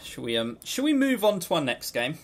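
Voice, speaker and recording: Man, podcast co-host, higher-pitched voice, very lively intonation, jokey, swears freely, casual chat. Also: fairly quick. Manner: animated, jokey storytelling with frank swearing. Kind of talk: casual chat about games.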